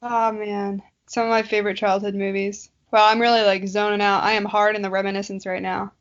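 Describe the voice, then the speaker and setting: A woman co-hosting a podcast, chatting casually and telling anecdotes in an animated, joking, slangy way. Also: mid-range voice, fairly quick, dull audio. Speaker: podcast co-host. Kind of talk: casual chat.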